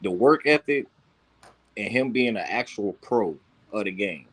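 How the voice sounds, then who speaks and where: A man's voice: lowish voice, lively intonation, a little slow, a bit bright, breathy edge, rough recording. A man in a casual, heated sports debate, talking insistently with lots of slang and swearing. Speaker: sports talk panelist.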